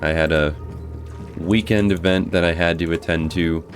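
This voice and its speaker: A hoarse man casually talking through his gameplay as a guide host, thinking aloud as he plays. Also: low-pitched, normal speed. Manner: thinking aloud, relaxed, restarts. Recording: music playing behind.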